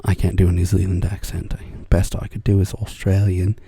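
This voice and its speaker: An older man talks in a hushed, whispering ASMR chat with a low, gravelly, deeply husky voice. His tone is dark and mellow, and the sound is close and dry, with no room around it. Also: little pitch movement, brisk, dry recording. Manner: whispering, soft-spoken, rambling. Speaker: ASMR artist. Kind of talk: soft-spoken ASMR chat.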